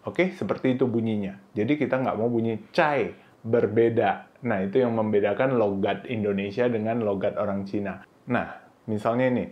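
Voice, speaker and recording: A man teaching Mandarin pronunciation in a casual, instructive way, often saying "oke" and "nah", and sounding out syllables in high, drawn-out bursts. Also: lo-fi sound. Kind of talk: pronunciation lesson video.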